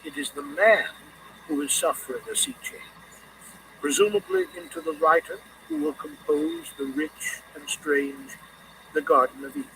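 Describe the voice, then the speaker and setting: Male literary narrator, measured and deliberate with lively intonation; recording sounds distant with clear background noise. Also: on the high side. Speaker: audiobook narrator.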